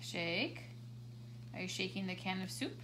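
A woman's voice: medium pitch, lively intonation, unhurried, pushed and bright, slight background noise. A woman playing with a toddler on a living room floor, patiently prompting simple words and manners. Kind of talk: coaching a toddler through play. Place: carpeted living room at home, indoors.

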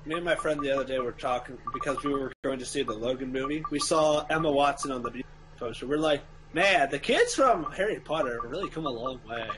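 A man chatting casually on a game stream, telling stories in an excitable way. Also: medium pitch, brisk, background noise. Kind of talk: casual chat.

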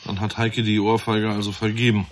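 An actor playing a shrewd, courteous police inspector in a radio drama, smoothly probing. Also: male voice, fairly low pitch, completely flat delivery, a little fast, natural voice. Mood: shrewd, commanding. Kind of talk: German radio drama acting.

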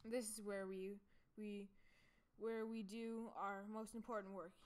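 A proud, welcoming monk from a storybook, read by a woman, explains things to his guests. The voice is clear and smooth, at a medium pitch. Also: level tone, measured pace, close to an everyday voice.

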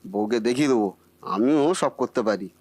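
A man's voice: mid-range voice, fairly quick, darkish tone, no echo, lightly acted. A man voicing a bumbling, boastful cartoon husband who cannot cook, muttering theatrically to himself. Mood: blustering. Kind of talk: comic Bengali cartoon acting.

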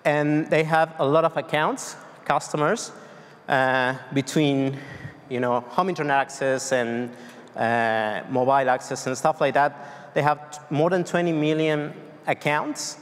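A man's voice: a little high, steady tone, measured pace. A man from Argentina in his thirties with a Spanish accent, giving a tech conference talk with many fillers and restarts. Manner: loose, hesitant delivery with many fillers and restarts. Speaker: conference speaker. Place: large dark conference hall on stage. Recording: long hall reverb.

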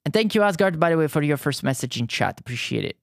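A man's voice: a little high, big pitch swings, vocal fry, no echo, studio quality. Italian man chatting while painting. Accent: southern Italian. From Italy. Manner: halting, frequent restarts and fillers, friendly. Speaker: art streamer. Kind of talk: casual live-stream chat while painting.

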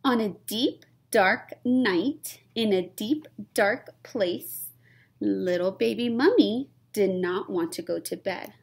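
A young woman reading a children's storybook aloud, expressive and suspenseful, stretching key words and dropping toward whispers. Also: medium pitch, slow, vocal fry, slightly rough. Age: young adult. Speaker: read-aloud storyteller, teacher. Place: small classroom, indoors.

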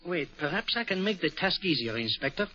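An actor playing a polite, shrewd Belgian detective with a French accent, speaking precisely and theatrically in a 1940s radio drama. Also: male voice, high-pitched, sing-song, brisk, pushed and bright, exaggerated voice. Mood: explaining. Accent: French-accented English. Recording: dull lo-fi vintage radio broadcast.

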